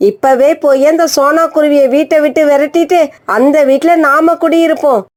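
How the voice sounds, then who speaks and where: A woman's voice: high voice, fairly even intonation, moderate pace, close to an everyday voice. A woman voicing a greedy, scheming female crow in a cartoon, wheedling and pushy. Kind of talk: animated cartoon voice acting.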